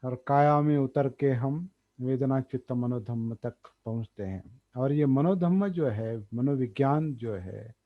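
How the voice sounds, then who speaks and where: A man gives a dharma talk, thinking aloud, pausing often and repeating key words. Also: medium pitch. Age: 60s. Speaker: meditation teacher. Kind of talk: slow explanatory dharma talk. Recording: dull lo-fi recording.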